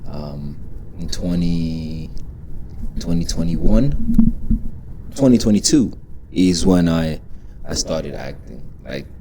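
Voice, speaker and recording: Low-voiced guy in his 20s, laid back, lots of ums and restarts, faint hiss behind. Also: takes it slowly, low-quality recording. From Congo. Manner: relaxed, rambling with many fillers and restarts. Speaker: guest. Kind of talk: casual interview chat.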